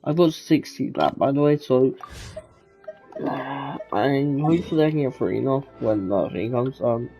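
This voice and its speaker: A man's voice: mid-range voice, animated, moderate pace, mellow, soft background music. A man giving casual gameplay commentary as a streamer, rambling as he thinks aloud. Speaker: gaming streamer.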